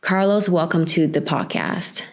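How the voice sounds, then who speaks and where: A woman's voice: sits low, sing-song, unhurried, vocal fry. A young woman hosting an interview podcast, reading a prepared introduction and then asking long questions. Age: young adult. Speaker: podcast host, student interviewer.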